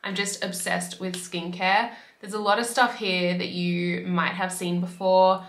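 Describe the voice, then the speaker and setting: A woman in her twenties from Australia, chatting casually to camera as a beauty vlogger, her voice slightly raspy from a cold. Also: fairly low pitch, flat delivery, measured pace, a bit bright, reverberant. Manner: chatty, upbeat, fillers like "like". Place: bedroom by a window.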